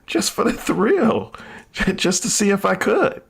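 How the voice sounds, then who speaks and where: An actor playing a cocky, reckless young thief in a vintage radio drama, with a husky, gravelly voice and a flippant manner. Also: male voice, high voice, sing-song, slow pace, slight background noise, heavily acted. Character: reckless doomed young man, cocky thrill-seeking diamond thief.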